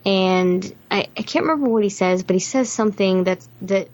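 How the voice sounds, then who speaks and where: A woman's voice: mid-range voice, moderate pace, darkish tone. A woman co-hosting a podcast, discussing a film casually and with enthusiasm. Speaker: podcast co-host.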